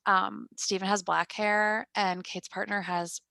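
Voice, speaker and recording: A woman in her twenties hosting a podcast on a headset, chatting casually in a calm, fairly monotone voice with lots of fillers and deadpan humor. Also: medium pitch, unhurried, vocal fry, dry recording. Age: 20s. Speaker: podcast host. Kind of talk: casual chat. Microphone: gaming headset.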